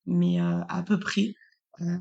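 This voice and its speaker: Woman podcast host, heavy vocal fry, hesitant questions with fillers. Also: lowish voice, level tone, takes it slowly, a little mellow, muffled recording. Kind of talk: interview questions.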